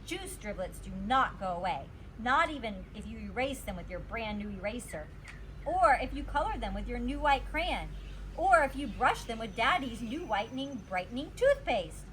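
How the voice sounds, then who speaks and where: High-pitched, animated woman reading a storybook. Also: normal speed, a little hiss or hum. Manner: expressive, emphasizes and stretches words. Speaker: teacher reading to children. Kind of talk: animated storybook read-aloud.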